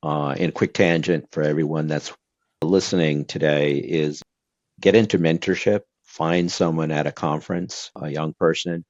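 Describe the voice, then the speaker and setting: A man's voice: extremely low pitch, fairly even intonation, normal speed, a little mellow, no echo, lo-fi sound. A man from Brazil whose first language is Portuguese, giving earnest advice as an interview guest with lots of fillers and restarts. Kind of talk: casual interview talk.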